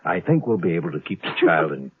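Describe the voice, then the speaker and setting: Flustered army doctor, low husky voice, anxious worrier, 1950s radio drama, thin narrow sound. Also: male voice, big pitch swings, quick pace, no echo, heavily acted. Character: nervous, flustered male military doctor, well-meaning worrier.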